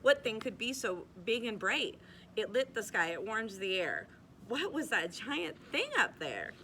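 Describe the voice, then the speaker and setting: A young woman reads a rhyming children's book aloud in a bright, clear voice. She keeps a steady, bouncing rhythm and sometimes restarts a stumbled word. A faint hiss sits under the recording. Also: fairly high pitch, a little slow. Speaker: reader.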